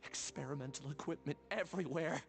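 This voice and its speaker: A man's voice: on the high side, a little fast, gravelly. A man playing a frightened, agitated victim in a video game, anxious and urgent, with music underneath. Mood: alarmed, indignant. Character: frightened, agitated male victim pleading for help.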